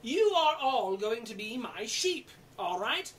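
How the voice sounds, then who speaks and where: A performer playing an eager, flustered, bumbling storyteller in an interactive children's show, with an excitable, stammering, coaxing manner. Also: medium pitch, slow pace, far from the mic, a little hiss or hum. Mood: encouraging, playful. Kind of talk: theatrical children's storytelling with audience participation.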